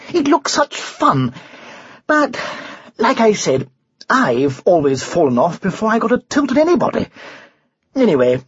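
Silly boastful nervous little knight, high voice all over the place, kids story, jolly old posh talk. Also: breathy edge, lo-fi sound, full character voice. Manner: blustering, jolly old-fashioned posh phrasing. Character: puny, boastful, nervous knight, silly upper-crust man.

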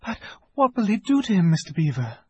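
A man's voice: on the high side, lively intonation, normal speed, darkish tone, slightly rough, no room sound, pitched above the performer's own voice. A man voicing an earnest, anxious young girl in a storybook, with a polite, hesitant, pleading manner. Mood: alarmed. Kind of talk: dramatized storybook reading.

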